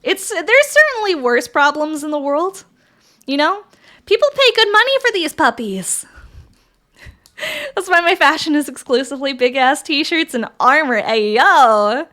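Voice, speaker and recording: A woman livestreamer chatting casually with viewers, reading their messages aloud, swearing freely and laughing often, her voice raspy from allergies. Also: high-pitched, very animated, a little slow, bright, forceful tone.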